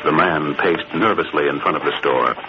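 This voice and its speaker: Dramatic old-time radio narrator, muffled. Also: male voice, very deep voice, moderate pace, over music. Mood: tense, hushed. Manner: dramatic, suspenseful. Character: dramatic male narrator of a crime serial. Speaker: announcer and narrator.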